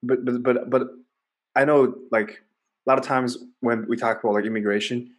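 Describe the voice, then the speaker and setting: A man's voice: medium pitch, steady tone, moderate pace. A man in his twenties, a native Kazakh speaker, hosting a casual podcast and asking curious, self-deprecating questions. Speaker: podcast host. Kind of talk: casual interview chat.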